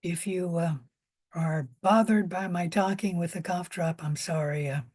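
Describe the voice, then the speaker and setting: A woman with a hoarse voice giving an informal biology lecture, explaining step by step with pauses and repetition. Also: on the low side, fairly even intonation, a little mellow.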